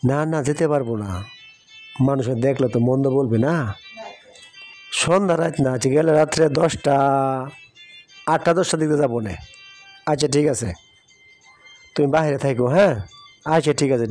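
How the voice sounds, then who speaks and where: A man's voice: medium pitch, a little mellow, lightly acted. A man playing an evasive young village lover in a cartoon, coaxing and placating. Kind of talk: cartoon voice acting. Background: music.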